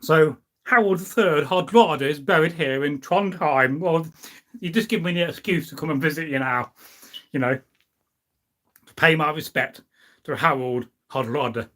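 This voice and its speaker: A middle-aged man hosts a casual live stream. His voice sits a little high for a man. He talks in an informal, wandering, anecdotal way, as if chatting with his viewers. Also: moderate pace, a bit bright. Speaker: live stream host, history enthusiast. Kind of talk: casual rambling chat, reading out and answering viewer comments.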